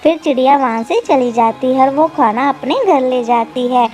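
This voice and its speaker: Casting: female storyteller for kids' tales, high voice, scripted delivery, faint music underneath. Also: normal speed, darkish tone. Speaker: narrator. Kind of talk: scripted children's story narration.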